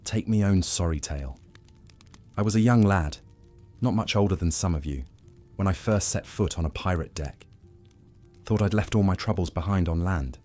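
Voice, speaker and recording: Grizzled old pirate acted by a male narrator, very deep rough voice, haunted and grim, storybook yarn, music underneath. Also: moderate pace, long pauses, mellow, lightly acted. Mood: bitter, haunted. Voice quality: low, rough, raspy. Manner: grim yarn-spinning in sailor dialect.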